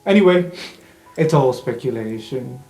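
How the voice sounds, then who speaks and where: A man playing an ancient, evasive professor in a tabletop game, musing in a measured way and trailing off. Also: medium pitch, animated, a little mellow. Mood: speculative, dry. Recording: roomy sound under music.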